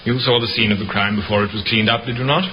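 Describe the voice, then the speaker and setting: An actor playing an insistent, methodical defence barrister with formal speech in a 1950s radio drama, on a dull, noisy recording. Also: male voice, lowish voice, steady tone, fast, natural voice. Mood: probing, insistent.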